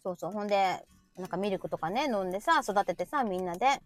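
A woman's voice: medium pitch, lively intonation, quick pace. A woman with a Kansai accent chatting casually as co-host, bantering and poking fun at herself. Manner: bantering, self-deprecating. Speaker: co-host of a chat show. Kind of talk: casual chat. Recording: music playing behind the voice. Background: music.

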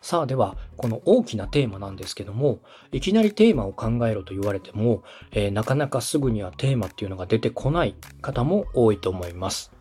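A man's voice: on the low side, lively intonation, a little fast, hardly any pauses, dark tone, breathy edge. A man hosting a podcast, explaining step by step in a polite, instructive coaching style. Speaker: podcast host and radio director giving advice.

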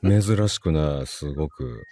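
A man with a husky voice chatting politely and casually as a livestream guest, giggly and quick to agree. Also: extremely low pitch, warm tone, no echo. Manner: giggly, agreeable, many eager backchannels. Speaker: livestream co-performer, voice actor.

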